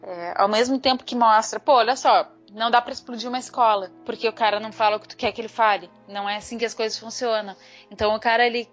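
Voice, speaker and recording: Brazilian woman podcaster, slightly high voice. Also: fairly even intonation, fast. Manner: informal, slangy, thinking aloud. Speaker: podcast co-host. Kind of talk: casual fan discussion. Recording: dull lo-fi audio, music underneath. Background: background music.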